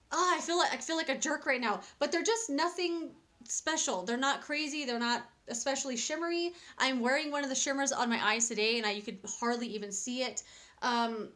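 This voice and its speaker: A woman's voice: fairly high pitch, a bit bright. A woman in her thirties giving a casual makeup review at home, chatty and candid, rambling with fillers and restarts. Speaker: beauty vlogger. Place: small cluttered home room, indoors.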